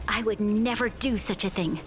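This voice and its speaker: A woman playing a composed, earnest young ruler in a video game, speaking in a formal, measured, resolute way. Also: mid-range voice, soft background music, close to an everyday voice. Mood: earnest, diplomatic.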